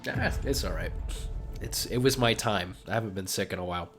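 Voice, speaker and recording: A man's voice: low-pitched, brisk, fairly bright tone, soft background music. A man co-hosting a casual comedy podcast, nasal from a cold, wry and self-deprecating with frequent chuckles. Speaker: podcast co-host.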